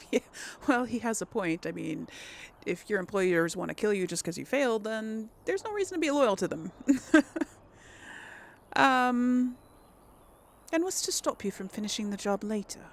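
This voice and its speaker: A woman's voice: mid-range voice, lively intonation, moderate pace, gravelly. A woman hosting a casual role-play let's play, talking in a hesitant, thoughtful, giggly way. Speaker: gaming video host.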